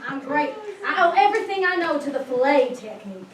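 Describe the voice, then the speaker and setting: A woman's voice: high voice, big pitch swings, brisk. A woman playing a boastful, chummy suburban dad, a self-styled grill master, in a comic play reading. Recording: noisy, echoey, distant mic.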